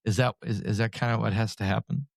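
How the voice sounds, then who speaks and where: A man hosting a podcast, asking questions in a rambling, quick-paced way. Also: lowish voice, steady tone, creaky, no room sound, studio quality. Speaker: podcast host. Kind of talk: casual interview questions.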